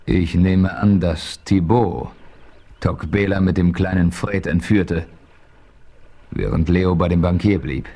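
A calm, shrewd frontier hero in a vintage radio play. His voice sits very low. He questions steadily and explains with quiet assurance. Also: slow pace, full character voice. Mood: composed. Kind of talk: 1970s radio play acting.

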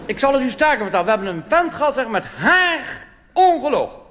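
A Dutch cabaret comedian performing a blustering, pompous reactionary army officer in a rambling stage monologue. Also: male voice, extremely high pitch, sing-song, fast talker, pitched above the performer's own voice. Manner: pompous, rambling, tag questions. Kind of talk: stand-up cabaret monologue in character. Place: theater stage before a live audience. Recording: live stage recording with hall reverb and hiss.

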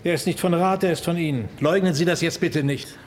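An actor playing a stern, principled senior detective in a radio play, speaking formally and firmly. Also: male voice, fairly high pitch, a little fast, slight background noise, lightly acted. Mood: stern, controlled. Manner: formal, correct, firm.

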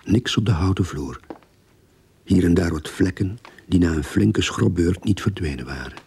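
Radio drama casting: terse boss detective, very low, mellow dark tone, slow and deliberate. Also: male voice, gravelly, slight background noise. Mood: terse, observing.

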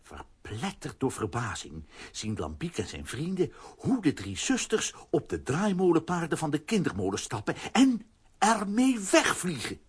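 A man narrating a children's radio adventure dramatically, in a rough, husky voice. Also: fairly high pitch, huge pitch swings, a little slow, exaggerated voice. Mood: dramatic. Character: animated storyteller of a children's adventure.

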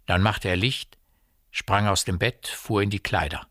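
A man delivers literary narration for a radio play. His voice sits low and is recorded dry, and he sets out each phrase with measured precision. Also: fairly even intonation, a bit bright, no echo.

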